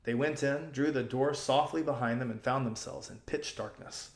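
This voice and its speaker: A man reading a children's story aloud as an expressive audiobook narrator. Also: mid-range voice, moderate pace, reverberant.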